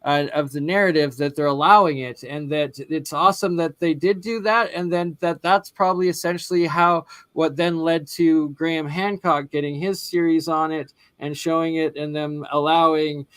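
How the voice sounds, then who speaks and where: A man's voice: a little high, fairly even intonation, moderate pace, hardly any pauses, fairly bright tone, clear, resonant tone, no echo. A man hosting a livestream discussion, talking in long, rambling sentences that circle back on themselves. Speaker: host. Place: lives in Calgary.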